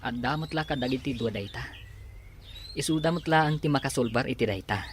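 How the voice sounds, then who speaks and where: A man's voice: medium pitch, a little fast, slight background noise, natural voice. A man in an Ilocano radio drama playing a weary, reasonable husband and son. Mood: resigned.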